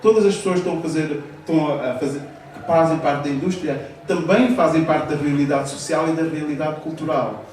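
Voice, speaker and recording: A man's voice: a little high, steady tone, brisk, darkish tone. Earnest man in a very echoey café. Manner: earnest, hesitant, restarts phrases. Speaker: interviewee, hip-hop artist. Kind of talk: public talk about the music industry. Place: café hall, live event. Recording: roomy echo, distant mic, faint noise.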